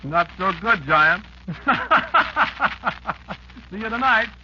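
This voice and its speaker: An actor playing a menacing, gloating insect enemy of humankind in an old radio drama, with a taunting, deliberate delivery. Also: male voice, high-pitched. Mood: gleeful threat. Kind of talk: 1940s radio drama monologue. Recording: muffled, very noisy old radio recording.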